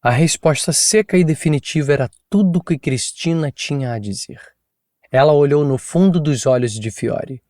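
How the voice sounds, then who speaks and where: A man narrating an audiobook in an even, measured storytelling manner from a studio booth on a close mic. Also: on the high side, no room sound. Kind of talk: scripted narration.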